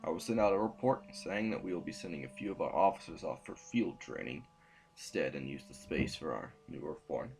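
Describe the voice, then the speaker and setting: An actor playing a formal, ruthless and weary council sovereign in an audio drama, speaking in deliberate official phrases. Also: male voice, very deep voice, expressive, vocal fry, a little mellow, faint music behind, exaggerated voice. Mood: weary, dry.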